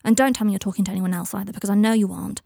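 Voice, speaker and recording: A woman's voice: mid-range voice, steady tone, racing, creaky, darkish tone, dry recording, clean studio sound. A woman voicing a hurt, exasperated young man in an audiobook story, pleading and accusing with emphasis. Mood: bitter, accusing.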